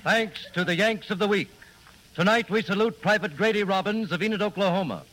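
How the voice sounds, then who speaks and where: A male announcer from 1940s radio. He reads formally in a high voice for a man, earnest and declamatory, as on an old broadcast. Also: fairly bright tone, rough recording.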